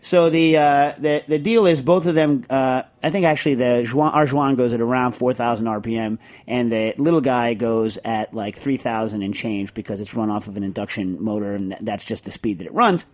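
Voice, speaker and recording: A man's voice: mid-range voice, steady tone, normal speed, hardly any pauses, darkish tone. A middle-aged man hosting a podcast, chatting casually and explaining technical points step by step with many fillers and restarts. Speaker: podcast host.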